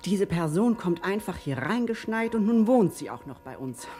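A woman's voice: medium pitch, big pitch swings, normal speed, mellow, soft background music, natural voice. An actress playing a prim, disapproving, territorial female housekeeper in a radio drama. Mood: indignant. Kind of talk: German radio comedy drama acting.

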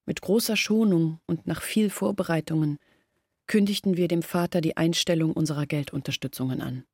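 A woman's voice: low-pitched, moderate pace, darkish tone, husky, no echo, clean studio sound. An actress playing a poor village woman confessing her family's hopeless decline in a literary radio drama monologue. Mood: sober, burdened. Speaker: narrating character in an audio drama. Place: dry studio.

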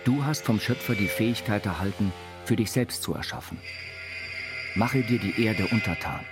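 An actor playing an earnest, visionary self-taught inventor, reciting philosophical writings in a literary radio play. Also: male voice, lowish voice, normal speed, lightly acted. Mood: earnest, instructive. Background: music.

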